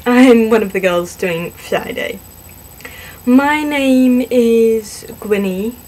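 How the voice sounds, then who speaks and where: A young woman, born in Singapore and raised in the southwestern US, casually answering questions about herself to a camera, chatty and self-deprecating with many pauses and ums. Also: fairly high pitch, a little mellow. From born in Singapore, grew up in the southwest US. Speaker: vlogger in a fan collab channel.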